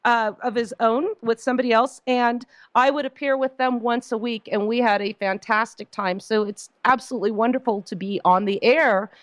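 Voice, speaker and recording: A woman hosting a call-in radio talk show, slightly hoarse, chatty with frequent fillers while reading announcements. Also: on the high side, fairly even intonation, normal speed, hardly any pauses, no room sound. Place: radio studio. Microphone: studio microphone.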